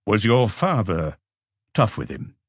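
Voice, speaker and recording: A man voicing a calm, probing police inspector in an audiobook, with measured, terse questioning. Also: on the low side, slightly rough, dry recording, studio quality, exaggerated voice.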